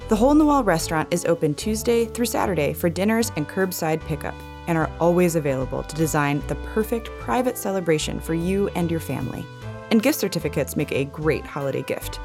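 A woman's voice: fairly low pitch, expressive, a little fast, music in the background. A woman announcer reading a scripted advertisement. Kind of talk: scripted ad read.

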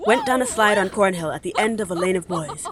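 A woman playing a wry, theatrical storyteller, narrating an audio play with deliberate emphasis. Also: mid-range voice, animated, over loud music, close to an everyday voice. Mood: wry, suspenseful.

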